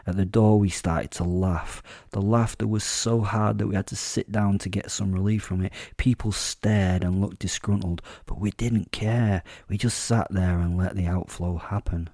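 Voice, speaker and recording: A man's voice: low-pitched, steady tone, moderate pace, few pauses, darkish tone, dry recording, studio quality. A man playing a wry werewolf killer who narrates his own story in a first-person audio drama. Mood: wry, observant.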